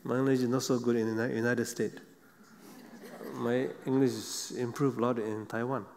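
A middle-aged man, a Buddhist monk on a stage panel, speaking halting non-native English with frequent pauses and fillers. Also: mid-range voice, level tone, very noisy. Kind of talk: unscripted panel talk. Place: seated on a large theater stage. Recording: long hall reverb.

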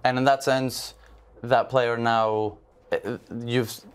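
A man's voice: mid-range voice, flat delivery, slow, clean tone. A young man from Israel, a football agent as a studio guest, explaining in an earnest way with many fillers on a TV panel. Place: large TV studio.

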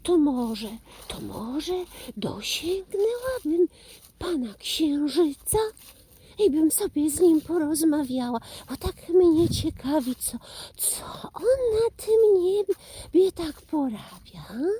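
A woman's voice: high-pitched, very animated, slow pace, mellow, pitched above the performer's own voice, full character voice. A woman voicing a tiny, shy, curious storybook mouse girl who speaks hesitantly and can stammer. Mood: wishful.

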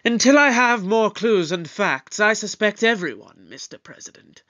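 A man plays a time-travelling detective in a sci-fi audio drama. He is pompous and suspicious, questioning deliberately in a notably high male voice. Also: lively intonation, sharp tone. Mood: suspicious, brisk.

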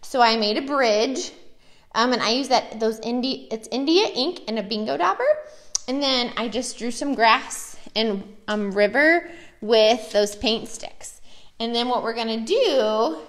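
A woman's voice: fairly high pitch, unhurried. An American woman, a preschool teacher, chatting enthusiastically and casually as she shows classroom activities.

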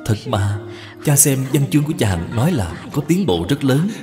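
A man voicing a gentle, dutiful young wife in a cartoon moral tale, speaking formally and persuasively. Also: mid-range voice, sing-song, moderate pace, close to an everyday voice. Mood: gentle, counselling. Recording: long reverb, music behind.

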